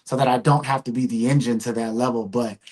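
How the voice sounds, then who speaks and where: A man's voice: mid-range voice, fast talker. Easygoing man with a mid-range voice. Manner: relaxed, rambling with many fillers. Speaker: comic publisher and convention organizer. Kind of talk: casual podcast chat.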